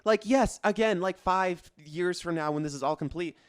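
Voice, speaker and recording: A man with a clean, smooth voice, recorded close and dry, chats as a podcast co-host. He is opinionated and emphatic, speaking in loose slang full of fillers. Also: high voice, moderate pace, no room sound. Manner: emphatic, slangy, peppers speech with "like". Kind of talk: casual, opinionated pop culture chat.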